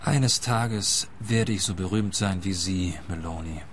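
An actor playing a naive, bumbling detective from Germany, earnest and literal-minded, in a radio play. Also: male voice, deep voice, a little slow, a little hiss or hum.

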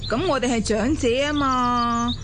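A woman's voice: on the high side, fairly even intonation, unhurried, noisy background, lightly acted. A woman playing a gossipy, teasing elderly neighbour in a colloquial radio drama. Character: nosy, chatty elderly female neighbour. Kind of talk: Cantonese radio drama acting.

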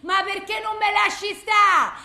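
An actress playing a bitter, distraught blind widow in a theatrical monologue, rambling plaintively in a colloquial Roman accent. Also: female voice, extremely high pitch, unhurried, pushed and bright, full character voice. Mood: anguished, angry. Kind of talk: theatrical phone-message monologue. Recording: echoey room.

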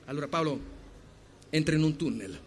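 Higher-pitched man narrating dramatically. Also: lively intonation, normal speed. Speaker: narrator reading a story. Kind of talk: dramatic storytelling. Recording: clear background noise.

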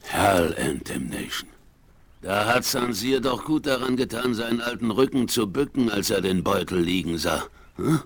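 Gravelly, excitable old frontiersman. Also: fairly low pitch, normal speed. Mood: amazed. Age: older. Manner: colourful, folksy, cursing speech. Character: gruff, hot-tempered old Wild West frontiersman. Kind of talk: 1970s German western radio play acting. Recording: vinyl transfer with background noise.

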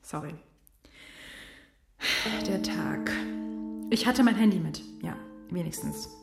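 Chatty, guileless young intern. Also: female voice, on the low side, animated, slow, vocal fry, reverberant, music in the background, heavily acted. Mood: candid. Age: young adult. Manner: casual, digressive, candid. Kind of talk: naturalistic radio drama testimony.

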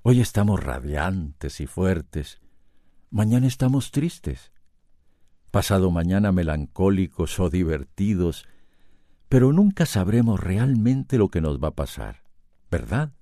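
A man's voice: deep voice, big pitch swings, measured pace, mellow, no echo, clean studio sound, heavily acted. A man with a Costa Rican accent narrating a radio soap as a reflective storyteller. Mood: musing. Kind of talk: radio drama narration addressed to listeners.

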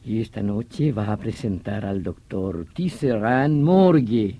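An actor in a radio drama playing a vehement veteran detective, explaining things in an emphatic, theatrical manner. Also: male voice, on the low side, animated, takes it slowly, no room sound, lo-fi sound, full character voice. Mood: explanatory. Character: vehement veteran police detective obsessed with hunting a vampire villain.

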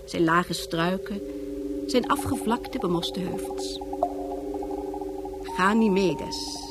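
A woman's voice: mid-range voice, a little mellow, slightly rough. A woman narrating a science fiction radio play in a solemn, declamatory, scripted manner.

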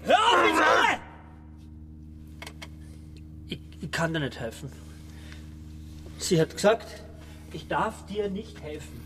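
An actor playing a frightened, weak-willed son in his forties, pleading in halting, anxious radio-drama dialogue. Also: high voice, wildly swooping pitch, shouting, noisy background, full character voice. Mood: panicked, pleading. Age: middle-aged. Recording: long reverberant cellar echo.

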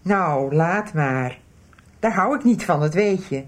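A woman's voice: on the low side, animated, slow. An actress playing a firm, nagging middle-aged mother in a radio soap. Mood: concerned, insistent. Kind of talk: 1990s Dutch radio soap dialogue.

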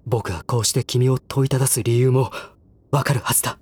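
A man playing a reserved, earnest, refined young man in a Japanese voice drama, with measured, formal phrasing. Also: medium pitch, steady tone, a little mellow, husky, lightly acted. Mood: insistent, hurt.

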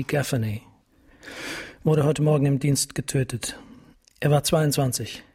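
An actor playing a middle-aged, grieving and vengeful police captain in a radio drama, formal, bitter and menacing. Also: male voice, mid-range voice, a little mellow, breathy edge. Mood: grim, grieving.

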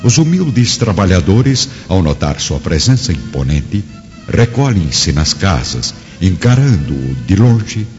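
A man's voice: low-pitched, full character voice. A Brazilian man narrating a radio drama in a solemn, dramatic storytelling style. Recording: dull lo-fi audio, loud music behind.

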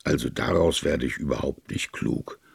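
A man's voice: very deep voice, normal speed, gravelly, breathy edge, no room sound, exaggerated voice. A man voicing a skeptical, exasperated police inspector with a blunt, incredulous manner in an audiobook. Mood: baffled. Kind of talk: audiobook character dialogue.

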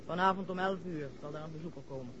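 An actress playing a controlling, criminal doctor giving orders in a 1960s Dutch radio drama. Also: female voice, deep voice, fast talker, lightly acted. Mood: controlling, cool. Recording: dull, noisy vintage radio drama recording.

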